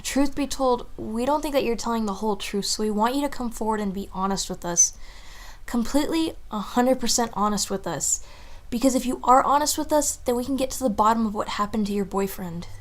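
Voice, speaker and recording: A woman playing a reasoning, higher-voiced female detective. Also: brisk, natural voice. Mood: persuasive, firm. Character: reasonable female police detective, coaxing, steadying. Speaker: detective interrogator. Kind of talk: audio drama interrogation acting.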